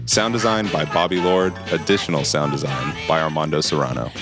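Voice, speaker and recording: A man with a low voice reads as a podcast announcer. His delivery is scripted, in the manner of a promo and end credits. Music plays clearly behind him. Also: fairly even intonation, a little fast. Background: loud music.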